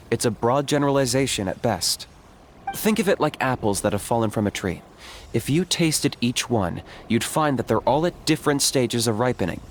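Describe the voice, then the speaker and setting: A man voicing a calm, reserved young hunter who speaks in a measured, matter-of-fact way. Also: medium pitch, a little hiss or hum, natural voice. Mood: reflective.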